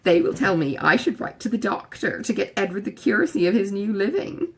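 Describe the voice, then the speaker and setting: A woman voicing a silly, chattering young gentlewoman in an audiobook, gushing and exclamatory. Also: medium pitch, expressive, fairly quick, slightly rough. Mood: indignant, giggly. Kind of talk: audiobook character dialogue.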